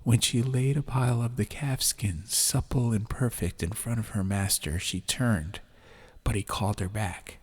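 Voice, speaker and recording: A man reading a story as an atmospheric audiobook narrator, in measured short phrases with pauses. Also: lowish voice. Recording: clear background ambient noise. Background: ambience.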